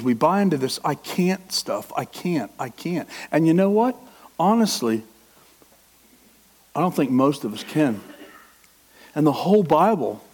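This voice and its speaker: A man's voice: on the high side, a little mellow, breathy edge. A middle-aged man preaching a sermon with passion, acting out the inner voice of a struggling believer. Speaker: pastor.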